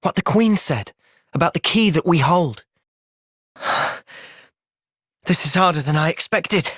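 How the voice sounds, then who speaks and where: A man with an English accent playing an earnest, thoughtful young soldier hero in a video game, speaking sincerely and with measured delivery. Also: on the high side, expressive, a bit bright, slightly rough, dry recording, studio quality, exaggerated voice. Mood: troubled, pensive. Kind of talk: video game cutscene voice acting.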